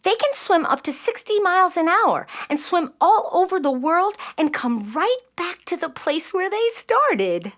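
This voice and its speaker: Nine-year-old know-it-all girl, earnest and eager, high and very animated. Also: measured pace, hardly any pauses, exaggerated voice. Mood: eager, explaining. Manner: earnest, chatty, explaining. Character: bright, eager, spirited nine-year-old girl who loves facts. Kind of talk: children's audio play acting.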